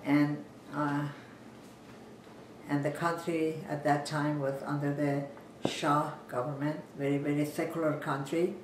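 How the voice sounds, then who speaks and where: An elderly woman speaks in a measured, plain way, recalling her life for an interviewer. She sits on a sofa in a living room, heard from a camera some distance away. The room adds a roomy echo, with a faint hum of ambience behind her. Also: low voice, level tone. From Iran. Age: 90s. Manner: measured, plain storytelling, seated and still. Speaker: interviewee, physician. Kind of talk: personal life story interview. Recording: roomy, distant home recording.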